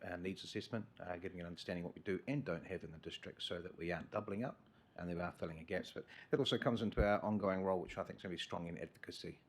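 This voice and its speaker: A man chairing a council committee meeting, measured and thanking each speaker. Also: deep voice, slight background noise.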